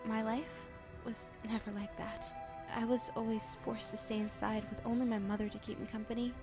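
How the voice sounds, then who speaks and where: A woman's voice: on the high side, level tone, normal speed, lightly acted. A woman voicing a troubled young heroine over music. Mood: wistful. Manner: wistful, soft-spoken, earnest. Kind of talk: game cutscene voice acting.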